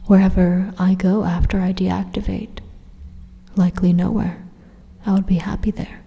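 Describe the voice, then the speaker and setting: A woman voicing a calm, menacing supercomputer turned evil in an ASMR roleplay monologue, deliberate and condescending, with a breathy, whispery voice. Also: on the low side, faint music behind. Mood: calm persuasion. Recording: long reverberant echo.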